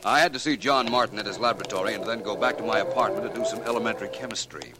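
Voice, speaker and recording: A man's voice: on the low side, very fast, lightly acted. A man playing a suave, self-assured amateur detective with precise, polished speech in a 1940s radio drama. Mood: assured, instructive. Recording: noisy 1940s radio broadcast recording.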